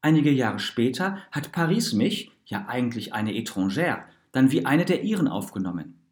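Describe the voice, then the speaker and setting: Man reading as an earnest, animated well-travelled mother. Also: medium pitch, moderate pace, natural voice. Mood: passionate, reflective. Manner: earnest, reflective, eloquent. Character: passionate, well-travelled Portuguese mother musing on home. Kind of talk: storybook narration in character.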